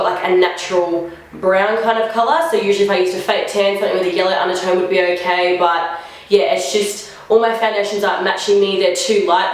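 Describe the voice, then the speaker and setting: A young woman vlogger chatting casually through a makeup try-on, sounding a bit nasal from a flu and filling her talk with "like". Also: mid-range voice. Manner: chatty, rambling, lots of "like" fillers. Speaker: beauty vlogger. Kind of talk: casual makeup first-impressions chat. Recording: very echoey room.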